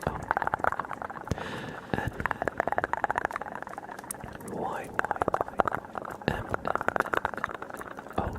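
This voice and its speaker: A man hosting an ASMR livestream, chatting casually to viewers in a soft, breathy, gentle whisper close to the microphone. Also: extremely slow, long pauses, heavy background noise.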